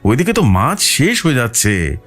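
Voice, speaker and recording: Man acting a coaxing spirit, raised animated voice. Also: medium pitch, moderate pace, faint music behind, voice pushed up. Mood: urgent coaxing. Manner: coaxing, insistent, repeating invitations. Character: luring male spirit posing as a friendly uncle.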